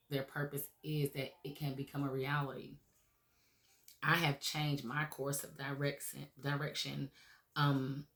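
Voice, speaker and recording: A woman hosting a motivational radio show, her voice raspy from recent laryngitis, talking earnestly and encouragingly with many "um"s and "okay?"s. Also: extremely low pitch, roomy sound. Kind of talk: motivational talk show monologue.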